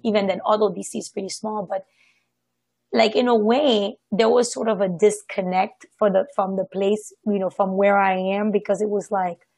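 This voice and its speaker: A woman from Haiti giving a casual, reflective personal account, halting and often circling back to correct herself. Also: medium pitch, steady tone, vocal fry, darkish tone, breathy edge, dry recording. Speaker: participant sharing personal experience in a group discussion.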